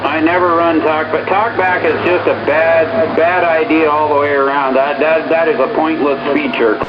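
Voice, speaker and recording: A man's voice: a little high, level tone, normal speed. Man on a muffled, very noisy CB radio. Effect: CB radio transmission. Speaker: CB radio hobbyist. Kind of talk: casual chat over CB radio.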